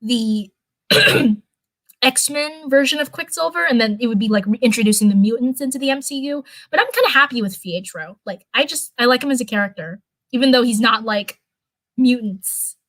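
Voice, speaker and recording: A young woman chatting casually on a livestream, rambling with lots of fillers and restarts, her voice getting hoarse. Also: a little high, normal speed, fairly bright tone, no echo. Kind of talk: casual livestream chat, answering viewers.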